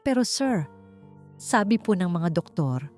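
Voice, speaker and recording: A woman's voice: fairly low pitch, expressive, measured pace, a little mellow, faint music behind. A woman voicing an anxious, deferential male assistant in an audiobook, respectful and urgent. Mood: worried. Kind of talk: audiobook character acting.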